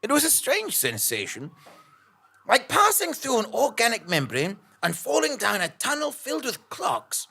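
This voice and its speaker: A man playing a bemused investigative journalist, deliberately recounting a strange journey as audio drama narration. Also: extremely high pitch, huge pitch swings, gravelly, bright, forceful tone, breathy edge, full character voice. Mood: bemused, eerie.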